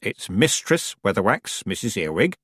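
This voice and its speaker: A man voicing a stern old woman witch who speaks calmly, coldly and tersely. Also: fairly low pitch, moderate pace, slightly rough, dry recording, studio quality, full character voice. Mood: icy calm. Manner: calm, clipped, coldly controlled.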